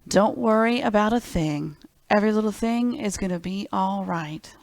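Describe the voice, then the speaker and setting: A woman's voice: medium pitch, normal speed, vocal fry, darkish tone, no room sound. A woman hosting a children's library storytime, reading picture books expressively and speaking simply and directly to young listeners.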